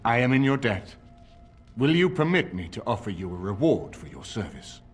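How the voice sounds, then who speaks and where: An actor playing a grave, authoritative middle-aged lord in a video game, speaking in a formal, measured way with a husky voice. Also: male voice, on the low side, big pitch swings, faint music behind. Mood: grave, grateful. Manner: formal, measured, stately delivery. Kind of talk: dramatic video game voice acting.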